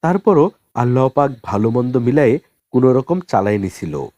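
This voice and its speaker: A man's voice: medium pitch, animated, moderate pace, a little mellow, dry recording, clean studio sound, close to an everyday voice. A man voicing a humble village imam in an audiobook, making an earnest, formal plea to his congregation. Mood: humble, explaining. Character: humble village mosque imam, pleading over unpaid wages.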